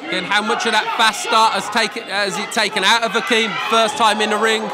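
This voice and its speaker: A man with a British accent commentating a kickboxing bout, talking in an analytical, measured way and often raising his voice over the crowd. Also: high voice, level tone. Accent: British English. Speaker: fight commentator. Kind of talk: ringside commentary. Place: function hall at a kickboxing event. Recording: loud crowd and hall noise behind.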